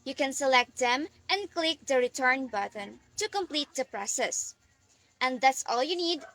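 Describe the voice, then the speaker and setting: A woman's voice: high-pitched, animated, a little slow, a bit bright, no room sound. A young woman, reading step-by-step instructions from a script for a class project presentation. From Philippines. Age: young adult. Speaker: student presenter.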